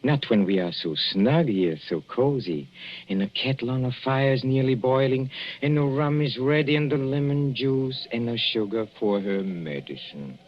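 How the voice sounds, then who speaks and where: An actor playing a fussy, cloying older husband with veiled menace in a 1940s radio drama, coaxing with oily endearments and small chuckles. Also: male voice, medium pitch, lively intonation, moderate pace, lightly acted. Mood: coaxing, ominous. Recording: dull, noisy old radio recording.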